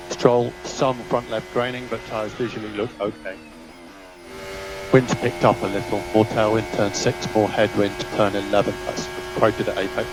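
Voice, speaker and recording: A man working as a race engineer, giving terse, businesslike technical instructions and updates over team radio. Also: medium pitch. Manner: clipped, businesslike, technical delivery. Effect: team radio transmission. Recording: noisy team radio, engine and vehicle hum.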